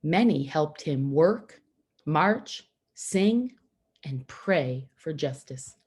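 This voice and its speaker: A woman reads a children's storybook aloud at a slow pace. Her pitch moves in lively swings, and her voice has a husky edge. She phrases things simply, leaving pauses so young listeners can follow. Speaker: storybook reader for schoolchildren. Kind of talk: read-aloud children's picture book narration.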